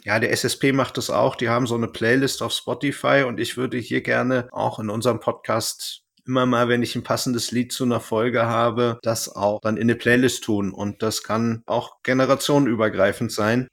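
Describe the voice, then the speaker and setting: A man's voice: mid-range voice, level tone, moderate pace, gravelly, few pauses, a bit bright. A man hosting a podcast, chatting casually as he recaps a story. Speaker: podcast host. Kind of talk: casual podcast chat, recapping an audio drama.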